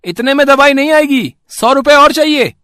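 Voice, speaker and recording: A man's voice: very high voice, normal speed, pushed and bright, dry recording, studio quality. A man voicing a greedy, curt and dismissive shopkeeper in an amateur moral story. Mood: scornful, demanding. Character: harsh, greedy male pharmacy shopkeeper, high-pitched and scornful.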